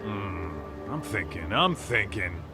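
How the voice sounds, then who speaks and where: A man's voice: on the low side, sing-song, takes it slowly. An actor playing a brash, streetwise male detective in a game dub, teasing and blunt, with taunting slang. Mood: cocky, taunting. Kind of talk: dubbed video game cutscene acting. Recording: music behind the voice.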